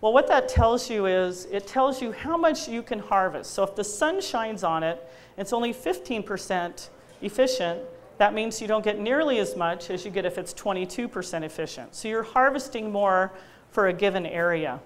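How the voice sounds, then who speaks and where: A loud, energetic middle-aged woman lectures about engineering in a hall, talking chattily and filling pauses with ums and you-knows. Her voice sits at an ordinary pitch for a woman. A faint hiss runs under the recording. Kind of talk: public lecture with slides.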